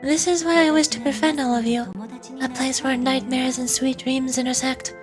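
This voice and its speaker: An enigmatic, elegant woman of memories, read aloud by a female player, speaks in a high voice with formal, theatrical poise. Music plays behind her game dialogue. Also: flat delivery, moderate pace, lightly acted. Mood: poised, alluring.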